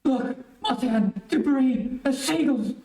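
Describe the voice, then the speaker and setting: A man's voice: very high-pitched, animated, slow pace, dark tone, full character voice. A man playing an earnest, reasoning doctor in an old-time radio mystery, explaining things carefully. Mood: excited. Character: earnest, reasoning male doctor, a former escaped convict. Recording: roomy, distant amateur stream audio.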